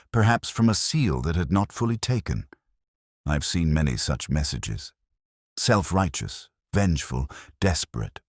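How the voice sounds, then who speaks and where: A man playing a weary, pensive detective inspector who narrates his case in a measured, literary, brooding way. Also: extremely low pitch, no echo, studio quality, full character voice. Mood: brooding, reflective. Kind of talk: scripted first-person mystery narration.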